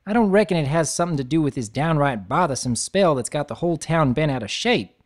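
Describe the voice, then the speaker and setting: A man's voice: fairly high pitch, lively intonation, fast talker, natural voice. A man voicing a hardworking, cheerful adult farm pony mare with a put-on Southern drawl. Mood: hearty. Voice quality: folksy, drawling.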